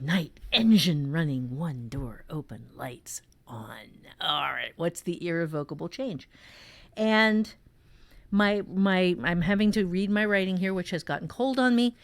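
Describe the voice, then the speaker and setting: A woman teaching fiction writing in a casual podcast conversation, explaining with deliberate emphasis. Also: on the low side, expressive, dry recording. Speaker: author and writing teacher co-hosting.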